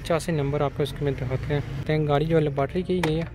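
A man's voice: on the high side, steady tone. A young man in a salesman's patter, showing viewers around a used van for sale outdoors while filming on a handheld phone. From Pakistan-administered Kashmir. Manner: brisk salesman patter, addresses viewers directly. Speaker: used car dealer vlogger. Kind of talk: walkaround vehicle review. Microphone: handheld phone camera at arm's length. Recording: noisy outdoor phone recording.

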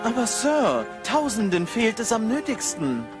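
An actor playing an earnest gentleman charity collector in an animated film, polite and imploring. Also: sits high, a little slow, music in the background. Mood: shocked, pleading.

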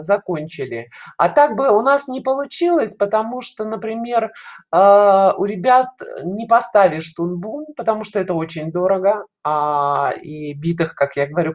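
A middle-aged woman explaining things in an interview over a video call, in halting phrases with frequent pauses. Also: on the low side. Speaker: caller to an online show, head of a children's animation studio. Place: small dim room indoors, video call. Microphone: headset on a video call.

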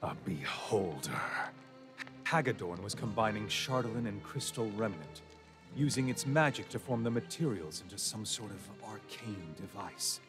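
An actor playing a grave adventurer narrating a quest in a fantasy video game, as a scripted storyteller. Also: male voice, lowish voice, expressive, normal speed, music in the background, natural voice. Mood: grave, measured.

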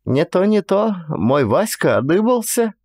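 A man voicing a high-pitched frail old village mother. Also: sing-song, a little slow, darkish tone, dry recording, clean studio sound, full character voice. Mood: plaintive recollection. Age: older. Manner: folksy rural speech, rambling, sighing. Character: frail, gentle old Cossack mother, resigned, childlike. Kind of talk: dramatized audiobook storytelling.

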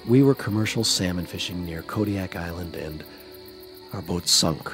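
A man's voice: deep voice, normal speed, fairly bright tone, faint music behind. An actor playing an anxious, devout father of young children in a family audio drama. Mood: uneasy, reluctant.

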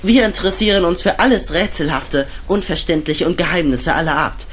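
A man's voice: fairly high pitch, fairly quick, a bit bright, a little hiss or hum, lightly acted. A young-sounding actor playing a curious, polite boy detective in a radio play. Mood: earnest.

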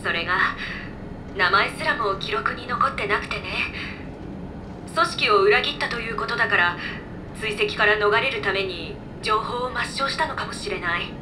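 A woman's voice: medium pitch, normal speed, noisy background, lightly acted. A woman voicing an easygoing, knowledgeable floating robot in a video game, explaining things casually. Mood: thoughtful. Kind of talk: video game voice acting, exposition.

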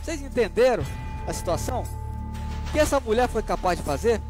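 A man's voice: very high-pitched, sing-song, a little fast, music in the background. A man in his thirties with a northern Brazilian accent, anchoring a local news show from a studio and commenting with rhetorical questions to viewers. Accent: Brazilian Portuguese, northern Pará. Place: studio with virtual set.

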